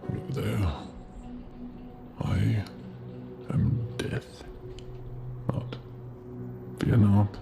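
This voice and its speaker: Man playing a very gravelly, courteous Grim Reaper. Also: on the low side, loud music behind the voice, heavily acted. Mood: reassuring, awkward. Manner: hesitant, formal, politely deadpan, halting. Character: Death, a courteous, gentle, formal male reaper. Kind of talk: soft-spoken ASMR roleplay.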